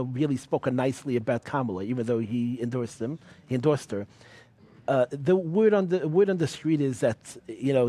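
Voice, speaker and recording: A man who writes about politics, answering interview questions in a measured way, with frequent pauses and "you know.". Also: medium pitch, warm tone. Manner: measured, many fillers and restarts.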